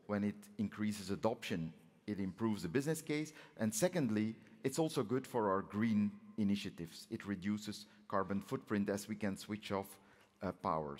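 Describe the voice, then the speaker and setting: A man in his forties giving a measured, explanatory keynote speech at a conference, as the president of an organisation. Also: lowish voice. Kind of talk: prepared conference speech with slides. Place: on stage in a large conference auditorium. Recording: reverberant large hall sound.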